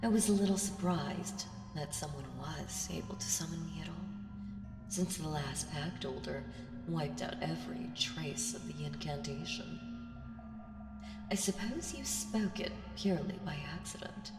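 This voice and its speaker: A woman voicing an ancient female evil spirit in an ASMR audio roleplay, seductive, teasing and condescending, with breathy half-whispered delivery. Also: low-pitched, a little slow. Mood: condescending, explaining. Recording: echoey reverberant voice over music. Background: music.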